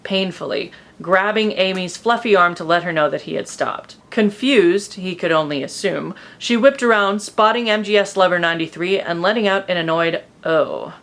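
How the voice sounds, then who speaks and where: Lowish-voiced woman narrating a comic story. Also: normal speed, few pauses, fairly bright tone. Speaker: presenter reading fan fiction.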